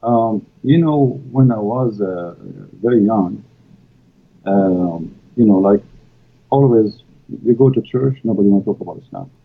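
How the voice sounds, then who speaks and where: A man's voice: fairly low pitch, a little slow, slight background noise. A man whose first language is Arabic, from the Middle East, speaking as a guest in hesitant, filler-laden English with non-native grammar over a call line. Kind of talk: casual live-stream conversation. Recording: tinny call audio.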